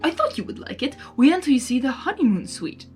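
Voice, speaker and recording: A woman's voice: high-pitched, very animated, fast talker, darkish tone, faint music behind, voice pushed up. A woman voicing a male chaos creature, a blunt, sly, grumbling newlywed husband in a fan fiction story. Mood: smug, teasing.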